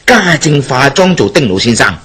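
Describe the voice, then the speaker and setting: A man playing a confident schemer bent on justice in a Hong Kong Cantonese radio drama, explaining persuasively in a husky voice. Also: mid-range voice, sing-song, moderate pace, fairly bright tone.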